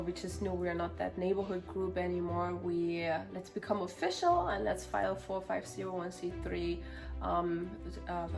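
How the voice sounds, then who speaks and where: A woman's voice: on the low side, vocal fry. Chatty woman with a German accent. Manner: chatty, laughs often, searches for words. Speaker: guest, nonprofit founder. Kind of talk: casual interview.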